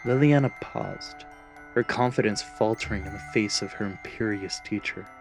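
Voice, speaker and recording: Deep-voiced man narrating a fantasy story. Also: moderate pace, faint music behind.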